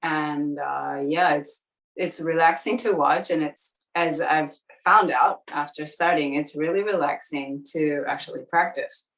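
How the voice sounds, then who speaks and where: A woman in her forties from New Zealand, her voice low and her accent only faint. She chats in a relaxed, easy way and laughs readily. She sounds a little distant from the microphone. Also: unhurried. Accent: light New Zealand. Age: 40s. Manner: relaxed, chatty, laughs easily. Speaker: interview guest. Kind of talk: casual interview chat. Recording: distant from the mic.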